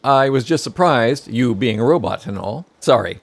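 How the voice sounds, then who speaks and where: Man playing a fussy narrator, expressive swooping pitch. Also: moderate pace, vocal fry. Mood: wry, probing. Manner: articulate, pedantic, wry. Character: fussy, pompous male professional narrator held captive. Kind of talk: scripted audio comedy drama.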